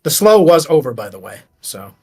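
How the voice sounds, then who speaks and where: A man's voice: on the high side, very animated, normal speed, darkish tone, breathy edge. A man playing a tabletop game, casually explaining rules and tactics. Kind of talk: casual game strategy talk. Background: music.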